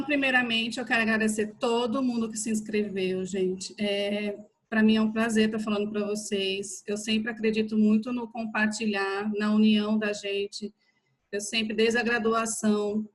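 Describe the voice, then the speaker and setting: A woman's voice: mid-range voice, poor audio. A Brazilian woman in her thirties giving an informal online lecture, talking to her listeners in a familiar, conversational way. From São Paulo state, Brazil. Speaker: physiotherapist teaching an online class.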